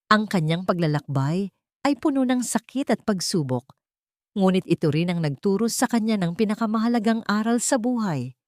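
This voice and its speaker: A woman storyteller reading a scripted romantic drama in a sentimental, measured way. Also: medium pitch, no room sound, studio quality.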